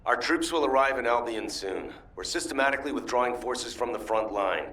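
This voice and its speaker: An actor playing a steady, resolute front-line commander reporting in a video game. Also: male voice, mid-range voice, fairly quick, far from the mic, close to an everyday voice. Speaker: military commander.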